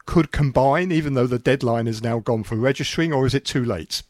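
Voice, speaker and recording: A man's voice: mid-range voice, a little fast, a little mellow, no echo. Man, podcast host, asks questions with tag questions, dry close sound. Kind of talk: interview questions.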